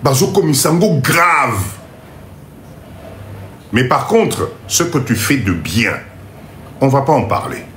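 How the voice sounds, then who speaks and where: A man's voice: mid-range voice, sing-song, slow, long pauses, a bit bright. A man with a Congolese French accent and a blocked nose from a cold, hosting a motivational live stream in an emphatic, repetitive, urging way. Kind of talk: motivational live talk to viewers. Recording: roomy echo, faint background noise.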